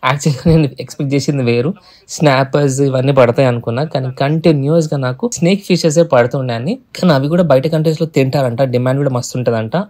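A man's voice: mid-range voice, racing, no room sound. A man, casually narrating a fishing vlog outdoors on a rocky shore and mixing in English phrases. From Telangana. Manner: excitable, switches into English phrases. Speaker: fishing vlogger. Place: rocky seashore at night, outdoors. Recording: outdoor camera audio with wind and surf noise.

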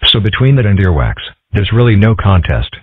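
Man as a low, husky cartoon animal kid. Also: very animated, fairly quick, no echo. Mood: matter-of-fact. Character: young cartoon animal boys, earnest and deadpan. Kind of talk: scripted comic-strip dub. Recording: dry, muffled phone-like audio.

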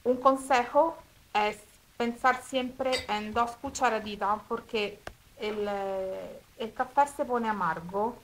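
An Italian woman with an Italian accent speaking Spanish as a second language, demonstrating a recipe step by step at home in a careful, slightly halting way. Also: fairly high pitch, slight background noise, rough recording. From Italy. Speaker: cooking video host. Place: home kitchen table.

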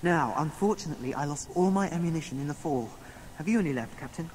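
A man's voice: a little high, animated, fast talker, darkish tone, lightly acted. An actor playing a plucky, earnest young male adventurer with an English accent in a radio drama. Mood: earnest, determined. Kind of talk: radio drama acting with narration. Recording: noisy radio drama sound effects behind.

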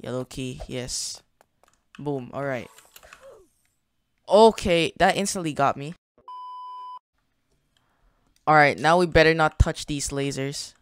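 Young man chatting over gameplay, smooth voice. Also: on the high side, measured pace, pauses often. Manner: chatty, thinking aloud, slangy. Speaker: gaming channel host. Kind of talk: casual gameplay commentary.

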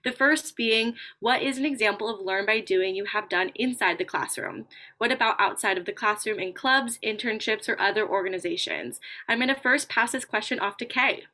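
A woman's voice: medium pitch, normal speed, bright, forceful tone. A young woman hosting a question and answer panel over a video call as its moderator.

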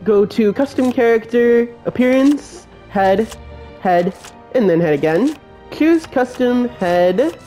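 A man's voice: extremely high pitch, expressive, warm tone, soft background music. A man with a cold and a congested voice, hosting a step-by-step game build tutorial as a voice-over. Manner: slow, plain instruction-giving voice-over.

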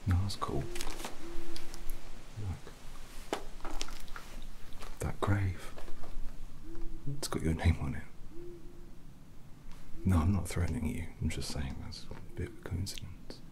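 A man speaking softly and often whispering in a halting, deadpan way, as a gentle, odd street photographer in an ASMR roleplay. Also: low voice, low-quality recording. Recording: close recording with clear background noise.

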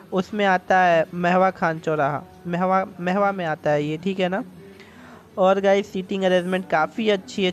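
A young man from India vlogging a night out at a restaurant, chatty and enthusiastic, talking straight to his viewers over a phone camera. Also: high-pitched. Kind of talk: casual vlog narration. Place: outdoor restaurant terrace at night.

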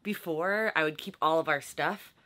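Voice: medium pitch, normal speed, sharp tone. A vlog co-host talking casually and playfully about fixing up their sailboat. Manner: upbeat, playful, laughs easily. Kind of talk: casual chat and scripted intro. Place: on a sailboat under refit. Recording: guitar music underneath.

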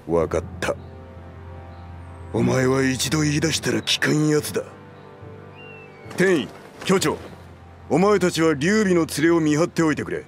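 An actor playing a gruff middle-aged general in a video game, distrustful and firm, with rough, blunt speech. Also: male voice, on the high side, sing-song, a little slow, long pauses, faint music behind, exaggerated voice. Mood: blunt, resolute. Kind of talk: Japanese video game cutscene acting.

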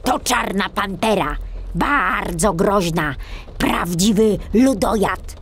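A woman voicing an old male forester for a children's audiobook, speaking solemnly and deliberately. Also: mid-range voice, slight background noise. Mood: solemn mock warning.

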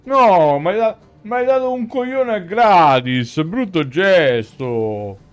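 A man's voice: high voice, expressive, takes it slowly, clean tone. A man in his thirties with a Roman Italian accent, giving casual, colloquial live gameplay commentary into a headset. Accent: central Italian, Roman. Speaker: video game streamer. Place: indoor room, gaming setup. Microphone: headset microphone. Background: game music.

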